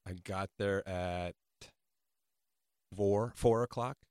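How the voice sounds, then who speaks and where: A man on a radio talk show chatting casually, in a halting, slightly sheepish way. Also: deep voice, darkish tone, clear, resonant tone, dry recording, studio quality. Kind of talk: casual chat.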